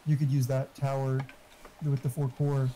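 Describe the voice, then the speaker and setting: Mellow man in casual, sweary chat. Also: medium pitch, little pitch movement, moderate pace, a little hiss or hum, rough recording.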